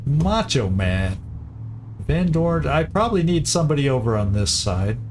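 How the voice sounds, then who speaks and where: A man's voice: fairly high pitch, big pitch swings, moderate pace, a crowd in the background. A man commentating a strategy game, thinking aloud with hesitant restarts as he plans each move. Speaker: gameplay commentator. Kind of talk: casual let's-play commentary. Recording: game music playing behind.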